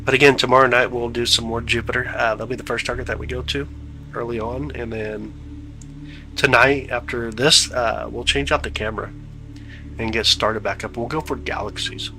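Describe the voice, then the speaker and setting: Congested male stream host, casual and slangy, gravelly, faint music underneath. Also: medium pitch, fairly even intonation, moderate pace, sharp tone, rough recording. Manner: laid-back, slangy, chatty. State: stuffed up, congested. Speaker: livestream host of telescope views. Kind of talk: casual chat with live chat viewers.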